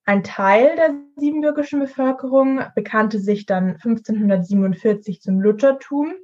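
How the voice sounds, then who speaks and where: A woman's voice: fairly high pitch, moderate pace, a little mellow. Young woman, dull lo-fi sound. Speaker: student podcast co-host. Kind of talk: prepared explanatory podcast talk. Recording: dull lo-fi podcast audio.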